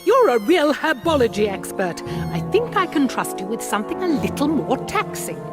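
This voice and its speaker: A hearty herbology teacher with an English accent, high-voiced and very animated. She is brisk and encouraging, a fantasy game character with music playing behind. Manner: hearty, instructive, precise. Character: brisk, encouraging middle-aged woman herbology professor. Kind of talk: video game voice acting.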